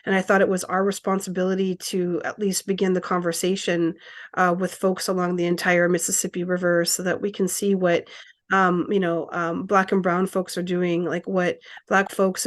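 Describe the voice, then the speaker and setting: A woman's voice: fairly low pitch, level tone, moderate pace. Hesitant woman organizer, full of fillers. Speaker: community organizer on a panel. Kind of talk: conference panel talk.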